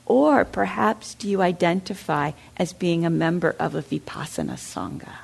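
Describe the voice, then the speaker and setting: Gravelly-voiced woman meditation teacher. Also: fairly low pitch, big pitch swings, moderate pace, slight background noise. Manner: reflective, asks the audience questions. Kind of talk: dharma talk. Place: meditation center hall.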